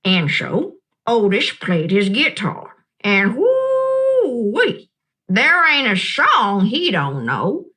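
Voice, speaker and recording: A woman's voice: mid-range voice, big pitch swings, sharp tone, exaggerated voice. Woman doing a drawling old woman. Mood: folksy. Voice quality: slow, drawling, folksy. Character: old Southern woman, kindly, wise storyteller.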